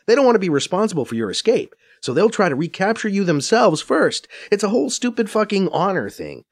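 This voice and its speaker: A man's voice: high voice, brisk, voice pushed up, heavily acted. Faiza, a man doing a brash, foul-mouthed female agent. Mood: brisk, explaining. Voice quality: raised, lively, cocky voice. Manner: swears freely and teases. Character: brash, profane, teasing female undercover agent. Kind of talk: audiobook character voice.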